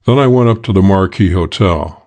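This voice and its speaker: A man reading a hardboiled detective audiobook as scripted narration, in a measured, matter-of-fact way. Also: low voice, expressive, darkish tone.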